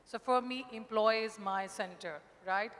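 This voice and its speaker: A woman's voice: on the high side, unhurried, fairly bright tone. A middle-aged woman giving an unscripted answer as a panelist on a conference stage. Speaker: panelist, HR business partner. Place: large conference hall stage, indoors. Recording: reverberant conference hall.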